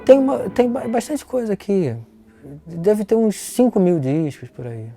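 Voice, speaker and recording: A Brazilian man, middle-aged or older, explaining casually and haltingly as an interviewed collector. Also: high voice, animated, vocal fry, over music. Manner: halting, repeats words, frequent tag questions.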